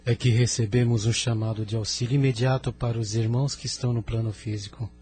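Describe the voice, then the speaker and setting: A man with a Brazilian accent playing a courteous, grave spirit envoy in an audio drama, formal and measured. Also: mid-range voice, fairly even intonation, mellow, soft background music, close to an everyday voice. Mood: grave, urgent.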